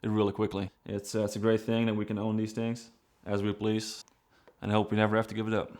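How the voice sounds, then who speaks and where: A man from Belgium, an engineer, telling his own story in a relaxed, reflective way with fillers and restarts. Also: lowish voice, quick pace. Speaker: trigger design engineer. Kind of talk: documentary-style personal narration. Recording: music bed underneath. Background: guitar music.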